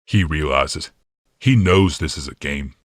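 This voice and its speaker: A man voicing a cold, scheming commander in an audiobook, speaking in a measured, quietly sinister and commanding way. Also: extremely low pitch, no room sound, studio quality, voice pushed down. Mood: gloating. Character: cold, scheming male commander and healer, smugly menacing. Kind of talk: audiobook character dialogue.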